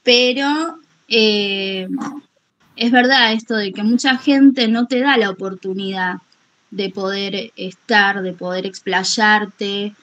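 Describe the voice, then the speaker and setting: A woman's voice: mid-range voice, takes it slowly, fairly bright tone, dull audio. Hesitant, polite Argentine woman. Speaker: workshop participant. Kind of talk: casual chat in a group call. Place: online group call.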